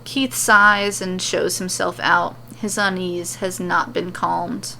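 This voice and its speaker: A woman storyteller reading scripted fiction in an expressive narration style. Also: lowish voice, slow, creaky, slight background noise, poor audio.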